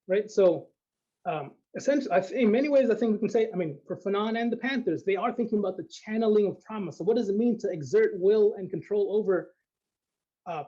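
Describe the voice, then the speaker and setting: A man speaking as an academic guest in an unscripted discussion, thinking aloud in a hesitant, halting way. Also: high voice, warm tone, highs cut off.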